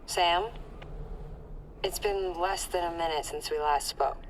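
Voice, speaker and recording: An actress playing a calm, caring colleague on comms in a video game, speaking in a measured, soothing way. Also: female voice, fairly low pitch, a little hiss or hum, lightly acted, low-quality recording. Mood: puzzled, matter-of-fact. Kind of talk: naturalistic video game acting.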